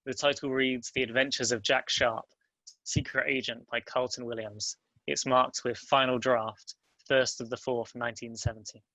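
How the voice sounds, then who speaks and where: Male narrator for script stage directions: bright, flat intonation, plain scripted delivery. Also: medium pitch, moderate pace, no echo, low-quality recording. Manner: plain, even reading. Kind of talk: scripted narration at a table read.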